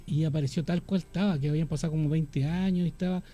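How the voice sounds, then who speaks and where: A man with a Chilean accent hosting a radio talk show, cutting in with quick, eager remarks. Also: on the high side, fairly even intonation, mellow, soft background music. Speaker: radio host.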